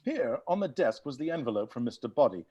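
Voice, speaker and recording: An actor voicing an excitable butler who explains a murder mystery in a theatrical, emphatic way during a comedy table read. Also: mid-range voice, fast, a crowd in the background, lightly acted. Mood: explanatory, confident. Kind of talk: live table read of a comedy film script.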